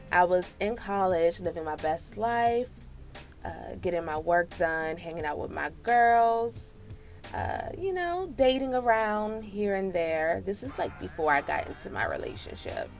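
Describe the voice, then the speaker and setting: A woman in her late twenties with an American accent tells a personal story casually, in an animated, sassy, slangy way. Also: fairly low pitch, measured pace, vocal fry, soft background music. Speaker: storytime vlogger.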